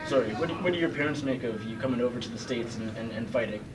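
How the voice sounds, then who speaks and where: Quick male reporter amid crowd chatter. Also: medium pitch, low-quality recording. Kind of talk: interview questions.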